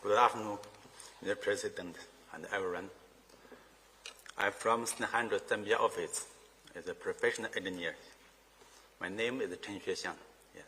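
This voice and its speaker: A man's voice: on the low side, normal speed, pauses often, sharp tone, a little hiss or hum. A man introducing himself formally as an engineer. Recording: reverberant meeting hall.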